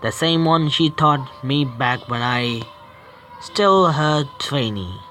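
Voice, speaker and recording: A man streaming a visual novel game, reading the narration aloud haltingly, stumbling over words and correcting himself. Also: mid-range voice, expressive, pushed and bright, a little hiss or hum.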